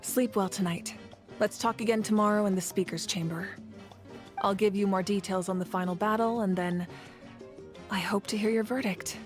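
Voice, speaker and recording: A woman's voice: mid-range voice, fairly even intonation, normal speed, soft background music, close to an everyday voice. Woman acting a weary, resolute female war leader. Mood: sincere, thoughtful. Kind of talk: video game voice acting.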